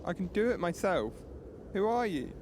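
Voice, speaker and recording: Male actor as young boy, high voice, defensive, plaintive, radio drama. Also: big pitch swings, normal speed, background noise. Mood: wary, defensive. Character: wary, troubled young boy, pleading.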